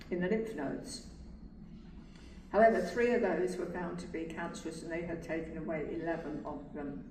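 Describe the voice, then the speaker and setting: Soft-voiced woman speaking in an echoey hall. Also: fairly low pitch. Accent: English. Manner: earnest and reflective, speaks from faith. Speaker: carer speaking at a carers' event. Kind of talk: personal testimony speech. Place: event hall. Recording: echoey hall, distant mic, room noise.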